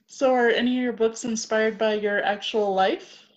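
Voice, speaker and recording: A woman's voice: fairly high pitch, steady tone, normal speed. A woman hosting a podcast, friendly and eager, interviewing an author. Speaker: podcast host. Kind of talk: casual interview chat. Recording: dull lo-fi audio.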